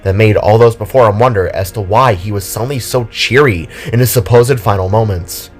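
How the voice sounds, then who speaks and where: A man reading dramatic scripted narration in a slow, theatrical, deliberate manner. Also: on the low side. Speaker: narrator and voice actor of an audio drama. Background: music.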